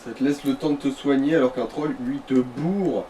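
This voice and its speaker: A man talks casually as a companion in a gaming session, offering slangy advice. His pitch is average. He is heard from a little distance, over clearly audible noise. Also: normal speed, dark tone. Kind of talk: casual gameplay chat. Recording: noisy, distant mic, game ambience.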